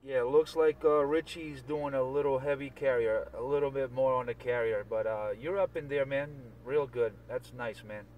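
A man's voice: mid-range voice, fairly even intonation, moderate pace, hardly any pauses. A man chatting casually on CB radio, giving signal reports and often repeating himself. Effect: CB radio transmission. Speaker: CB radio operator. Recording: distant, very noisy CB radio chat.

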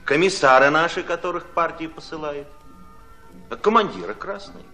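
An actor playing an earnest, persuasive Red Army commissar in a radio play, speaking in a measured manner. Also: male voice, fairly high pitch, lively intonation, pushed and bright, soft background music. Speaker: actor in an audio drama. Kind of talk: radio play dialogue and narration.

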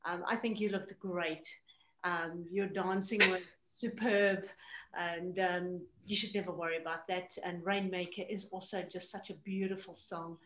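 A woman's voice: on the low side, steady tone, measured pace, echoey room, background noise. A middle-aged woman on a video call, the host's mother, kindly paying compliments. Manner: warm, complimentary.

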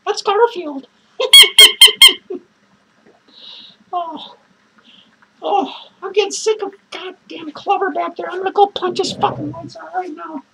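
A woman playing an unhinged, drunk male clown who rambles crudely, cackles manically and lurches between shouts and mutters. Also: extremely high pitch, steady tone, moderate pace, background noise. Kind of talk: improvised character monologue to viewers.

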